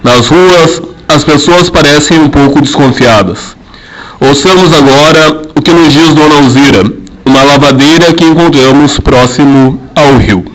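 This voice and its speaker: A man's voice: fairly high pitch, unhurried, rough recording. Formal young man announcing news. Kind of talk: scripted period radio narration.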